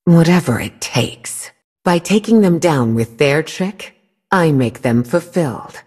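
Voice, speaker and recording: A husky, haughty dark sorceress. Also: female voice, deep voice, animated, measured pace, exaggerated voice. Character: regal cursed sorceress queen, proud and menacing. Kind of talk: game character voice lines.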